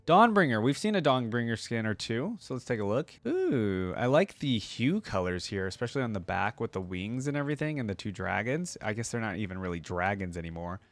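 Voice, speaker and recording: A man's voice: lowish voice, very animated, a little fast, few pauses, no echo. A man giving casual, informal reaction commentary, thinking aloud with exclamations as a video creator.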